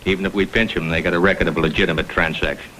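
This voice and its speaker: Hard-nosed lieutenant, American, blunt and forceful, lowish pitch, vintage TV crime drama. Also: male voice, steady tone, very fast, slight background noise, rough recording. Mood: shrewd, terse. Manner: blunt, terse, world-weary. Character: hard-nosed, sceptical male police lieutenant.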